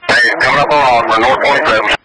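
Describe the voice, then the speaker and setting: A man's voice: medium pitch, steady tone, quick pace. A male police officer giving terse pursuit updates over police radio. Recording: very noisy police scanner radio. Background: heavy noise with radio clicks.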